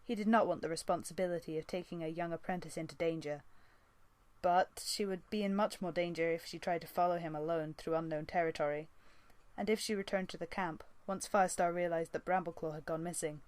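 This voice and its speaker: A woman's voice: on the low side, steady tone, a little fast, a little mellow, no room sound. A woman narrating an animal fantasy novel as an amateur audiobook reader. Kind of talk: scripted storybook narration.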